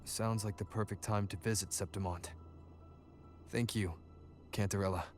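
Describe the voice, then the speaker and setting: An actor playing a calm, polite young wandering adventurer in a video game, speaking plainly and with restraint. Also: male voice, fairly low pitch, moderate pace, soft background music, lightly acted. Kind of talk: scripted video game story dialogue.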